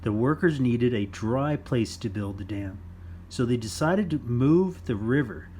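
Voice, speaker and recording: A man reading a children's nonfiction book aloud in simple, deliberate phrases for young listeners. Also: mid-range voice, lively intonation, dark tone, slight background noise. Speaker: children's storytime reader.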